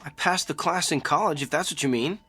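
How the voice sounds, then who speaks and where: A man's voice: fairly high pitch, a little fast, sharp tone, breathy edge. An actor playing a troubled, wry, self-deprecating businessman in an audio drama. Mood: uneasy, wry.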